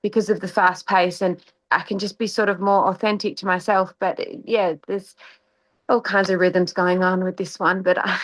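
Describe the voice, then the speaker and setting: A woman's voice: lowish voice, level tone, moderate pace, dry recording, poor audio. An Australian woman, an actress, chatting casually in an interview and laughing often.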